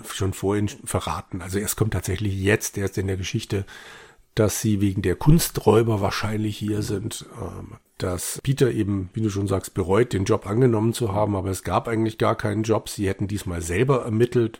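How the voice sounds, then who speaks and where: A man's voice: on the low side, fairly even intonation, fairly quick, darkish tone, slightly rough. A man co-hosting a podcast, casually retelling an audio drama with appreciation and quoting lines from it. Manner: appreciative, quotes characters' lines while retelling. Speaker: podcast co-host.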